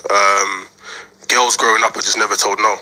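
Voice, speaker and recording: A man in his twenties from Nigeria, co-hosting a podcast and speaking in a measured, hesitant way. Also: lowish voice, flat delivery, bright, forceful tone, poor audio. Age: 20s. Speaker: podcast co-host. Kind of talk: casual panel discussion.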